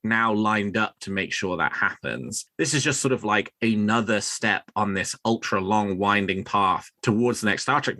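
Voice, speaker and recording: Bright-voiced man hosting a podcast. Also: fairly low pitch, no echo. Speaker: podcast host. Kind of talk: news discussion on a fan podcast.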